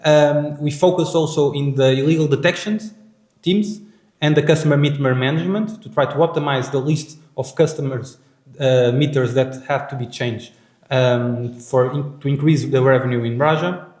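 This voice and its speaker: A man's voice: mid-range voice, steady tone, a little mellow. Portuguese guy presenting at a conference, lots of uh and restarts, echoey hall. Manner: halting, many fillers and restarts.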